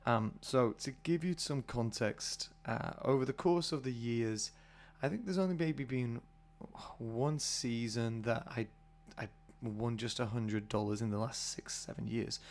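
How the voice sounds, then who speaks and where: Husky British man with a cold. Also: medium pitch, unhurried. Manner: rambling, chatty, many fillers. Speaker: podcast host. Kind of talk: casual solo podcast talk.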